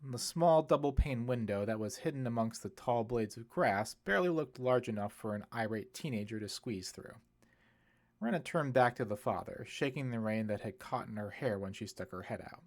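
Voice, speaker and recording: A man's voice: medium pitch, animated, normal speed. A man reading scripted fiction as an audiobook narrator, telling the story in prose.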